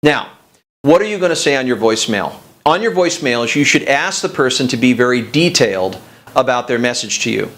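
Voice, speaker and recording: A man's voice: mid-range voice, lively intonation, brisk, a bit bright. A man hosting a business coaching show, giving direct, instructional advice straight to the viewer.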